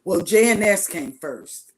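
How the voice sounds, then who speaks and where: A woman guest speaking slowly and hesitantly over a video call while answering interview questions. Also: medium pitch, expressive, rough recording.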